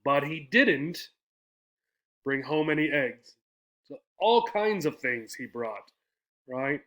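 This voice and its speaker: A man's voice: on the high side, expressive, slow pace, pushed and bright. A man teaching English, explaining step by step in a didactic way with frequent questions and check-in tags. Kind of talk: explanatory English lesson with poem reading.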